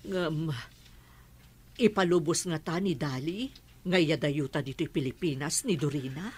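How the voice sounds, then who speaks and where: A woman playing a worried, protective middle-aged mother in a Filipino radio drama, fretful and expressive. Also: low-pitched, measured pace, slightly rough. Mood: subdued, hesitant.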